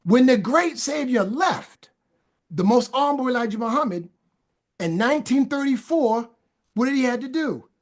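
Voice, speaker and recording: High-voiced man preaching fervently. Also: expressive, moderate pace. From Boston, USA. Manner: stammering restarts, repeats phrases for emphasis. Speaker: podcast guest. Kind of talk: casual talk building into fervent preaching.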